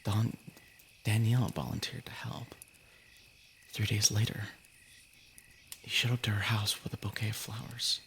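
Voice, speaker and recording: A man with a gravelly, creaky voice casually reading stories as a livestream host, informal and soft-edged. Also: lowish voice, flat delivery, unhurried, long pauses, a little hiss or hum.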